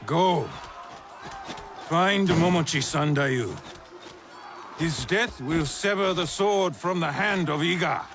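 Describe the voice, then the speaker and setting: An actor playing a commanding, ambitious warlord, speaking in a grandiose, deliberate way in a cinematic period game. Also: male voice, a little high, background noise. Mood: exultant, grandiose. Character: commanding, ambitious Japanese warlord, proud and theatrical. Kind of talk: cinematic period drama acting.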